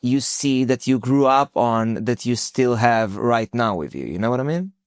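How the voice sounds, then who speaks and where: A male podcast host talks in a friendly, effusive, casual way, filling his speech with likes and you-knows. His voice is smooth and clear at a middle pitch. The recording is close and dry. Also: lively intonation, moderate pace, no room sound.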